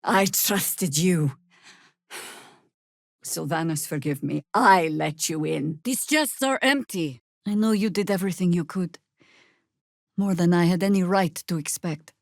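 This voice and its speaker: A seasoned druid warrior woman from a fantasy game. Her voice sits slightly low, recorded very dry. She is sardonic and world-weary but resolute, speaking in measured, commanding phrases. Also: no room sound. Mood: pained, then dry, steady. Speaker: video game voice actress. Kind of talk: scripted fantasy game dialogue with shouted spell incantations.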